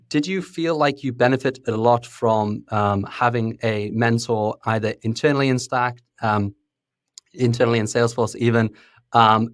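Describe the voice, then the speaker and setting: A man's voice: on the low side, no echo, studio quality. A man hosting a podcast, asking casual interview questions in a friendly way and laughing often. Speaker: podcast host.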